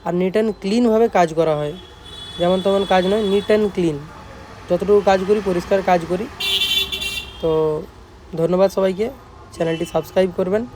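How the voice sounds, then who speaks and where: A man's voice: high-pitched, steady tone, normal speed, long pauses, background noise. A man with a West Bengal Bengali accent casually showing his repair work as a shop owner, informal and repetitive, often checking in with listeners. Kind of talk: casual product showcase vlog.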